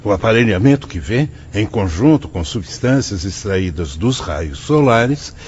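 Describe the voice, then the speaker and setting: An actor playing a wise, patient priest and mentor in a Brazilian radio drama, husky, solemn and didactic, with a Brazilian accent. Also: male voice, on the low side, lively intonation, unhurried, darkish tone, slight background noise. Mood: patient, explaining. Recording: dull, muffled studio audio.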